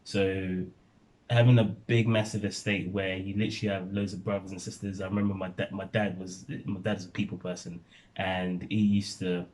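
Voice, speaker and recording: A young man from Nigeria telling stories in relaxed casual chat, laughing often as a vlogger. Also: low voice, normal speed, poor audio.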